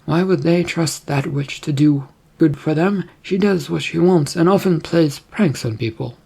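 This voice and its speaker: A narrator putting on a stern, husky queen. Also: mid-range voice, a little slow, warm tone, voice pushed up. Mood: questioning. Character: stern, probing fantasy queen, imperious wife.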